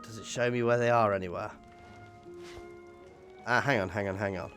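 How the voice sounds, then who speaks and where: A man's voice: fairly low pitch, steady tone, normal speed, fairly bright tone. Man thinking aloud over music. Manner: thinks aloud, exclaims playfully. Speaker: gaming video host. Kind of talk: casual let's play commentary of a strategy game.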